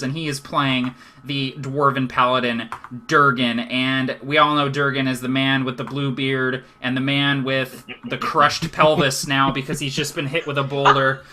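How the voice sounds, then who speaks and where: A man's voice: mid-range voice, bright, forceful tone. A man hosting a tabletop game as dungeon master on a video call, upbeat and encouraging in casual chat with his players. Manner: upbeat, encouraging, laughs often. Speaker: dungeon master and show host.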